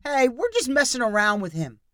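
A woman reading a story, voicing a loud, teasing male football fan standing in a line. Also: a little high, huge pitch swings, fairly quick, no room sound, clean studio sound, voice pushed up, exaggerated voice.

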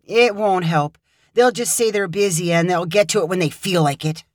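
A woman's voice: on the low side, normal speed, no echo, clean studio sound, natural voice. A woman doing a nervous, awkward young guy. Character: young man, nervous, awkward, eager to please.

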